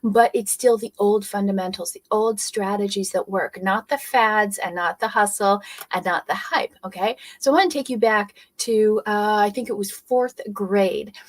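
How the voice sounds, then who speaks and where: A woman from Europe whose native language is German, giving an energetic, persuasive motivational business talk and often checking in with "okay?". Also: medium pitch, normal speed. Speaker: business coach.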